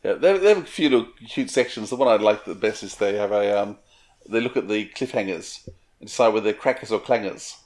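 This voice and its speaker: A man's voice: lowish voice, lively intonation, fairly quick, darkish tone. A man, co-hosting a podcast in casual conversation. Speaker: podcast co-host. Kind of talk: casual chat.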